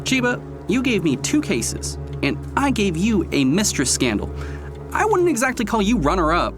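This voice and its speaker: A man's voice: sits high, wildly swooping pitch, normal speed, over music. An actor playing an ambitious, self-assured male prosecutor in an audio drama, with a pointed, knowing delivery. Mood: irritated, defiant. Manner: confiding in narration, sharp and sarcastic in argument. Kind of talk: audio drama narration and dialogue.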